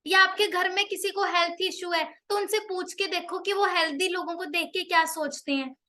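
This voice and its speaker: High bright-voiced young woman selling. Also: steady tone, normal speed. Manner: chatty, persuasive, asks the listener questions. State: slightly sore throat from a cold. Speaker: product seller explaining products. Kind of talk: persuasive product talk.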